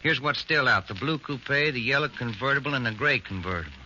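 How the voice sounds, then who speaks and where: A man's voice: mid-range voice, lively intonation, a little fast, bright, forceful tone. An actor playing an easygoing male police sergeant in a 1940s radio drama, talking plainly and conversationally. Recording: muffled, noisy 1940s radio recording.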